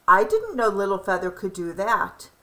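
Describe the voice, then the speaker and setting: A woman's voice: mid-range voice, big pitch swings, moderate pace, a bit bright. A woman voicing a kindly, giggly mother hen in a children's storybook, exclaiming in a singsong way. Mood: amused, amazed.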